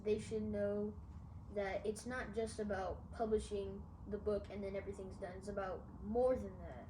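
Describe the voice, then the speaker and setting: A child of about ten asking prepared interview questions and reacting in an earnest, halting way. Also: medium pitch, steady tone. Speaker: student interviewer. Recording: echoey, distant mic, noisy room.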